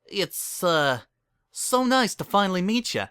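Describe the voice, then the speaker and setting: A man's voice: high-pitched, very animated, measured pace, sharp tone, smooth, no room sound, studio quality, pitched above the performer's own voice, exaggerated voice. A man voicing a friendly, easily flustered female pony candy shopkeeper with a folksy, eager manner.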